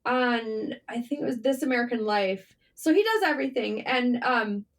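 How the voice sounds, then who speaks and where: Female podcast guest, higher-pitched voice, informal interview storytelling with an animated conversational delivery. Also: normal speed. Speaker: podcast guest, writer and journalist. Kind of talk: casual interview chat.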